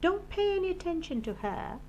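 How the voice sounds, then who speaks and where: Woman playing an earnest young boy, lively pitch. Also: brisk, muffled recording, slight background noise. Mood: eager. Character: earnest young boy, storybook narrator hero. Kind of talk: storybook character voice.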